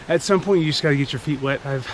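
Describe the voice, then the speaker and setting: An enthusiastic man in his thirties hosting his own hiking vlog, chatting casually as he walks. His voice sits a bit high, and he is recorded outdoors on a camera held at arm's length. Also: moderate pace, vocal fry, slight background noise. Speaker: vlog host. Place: outdoors on a trail and in a canyon. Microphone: action camera held at arm's length.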